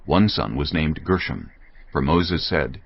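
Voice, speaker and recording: A man's voice: rumbling low, expressive, measured pace, creaky, breathy edge, no room sound, dull audio. A man narrating scripture from a script in a solemn storytelling manner. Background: music.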